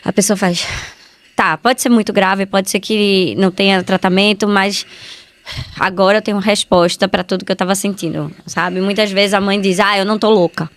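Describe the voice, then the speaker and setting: A woman's voice: medium pitch, fairly even intonation, normal speed. A woman with a Brazilian accent, a medical geneticist giving conversational answers as an interview guest.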